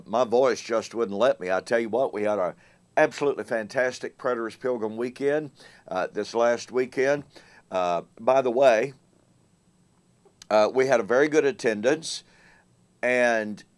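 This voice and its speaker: A man hosts a casual morning Bible study, his voice still thin and strained from a recent bout of laryngitis. He presses his points with emphasis, repeating words and dropping in fillers as he goes. His pitch sits in an ordinary middle range. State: weak voice recovering from laryngitis. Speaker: host. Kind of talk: casual Bible teaching monologue.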